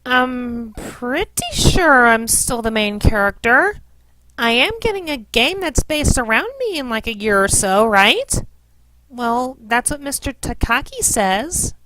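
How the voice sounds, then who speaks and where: A woman's voice: on the high side, expressive, slow, sharp tone, full character voice, rough recording. Woman playing an earnest, slightly unsure young heroine. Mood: defensive, unsure. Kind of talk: scripted cartoon parody dub.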